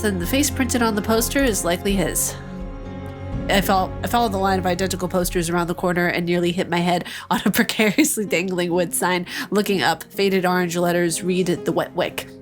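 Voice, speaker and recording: Playful woman reading a game aloud. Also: medium pitch. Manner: playful, joking asides between narrated passages, frequent laughs. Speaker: let's play host. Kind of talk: reading a visual novel aloud with commentary. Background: game music.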